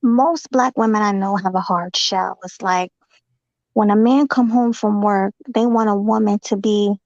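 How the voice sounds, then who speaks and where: A woman's voice: medium pitch, steady tone, measured pace, darkish tone, dry recording. A woman hosting a casual chat, talking conversationally and laughing between her points. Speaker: host. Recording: dull, lo-fi audio.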